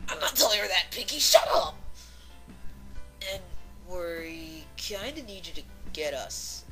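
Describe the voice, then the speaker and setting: A woman voicing a rowdy drunk young mare in a fan fiction audio reading, with slurred, halting, hiccupy speech. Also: low voice, heavily acted, poor audio. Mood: drunk, sheepish pleading.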